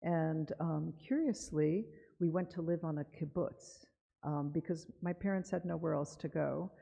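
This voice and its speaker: A woman from Cuba giving an unhurried, conversational author talk in a synagogue chapel, reading a poem aloud and often pausing with fillers. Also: low-pitched, vocal fry, mellow, husky. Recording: dull live broadcast with long reverb.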